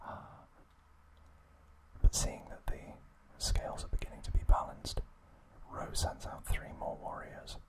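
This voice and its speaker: A man whispers an ASMR narration very slowly. His delivery is hushed and unhurried, taking its time through each phrase as a host explaining things for relaxation. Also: low-quality recording. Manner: slow, hushed, unhurried trivia recap delivery.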